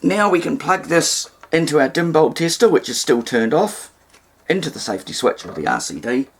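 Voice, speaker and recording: A man's voice: a little high, sing-song, normal speed, husky. Man giving an informal hands-on demonstration. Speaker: hobbyist presenter demonstrating radio repair.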